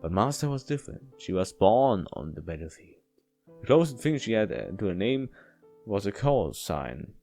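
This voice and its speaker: Male let's player, lower register, stumbling casual read-aloud over light plucked guitar music. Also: sing-song, gravelly, mellow. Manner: halting delivery, stumbles and repeats words while reading. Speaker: video game let's player. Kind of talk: reading a visual novel aloud with casual commentary. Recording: music behind the voice.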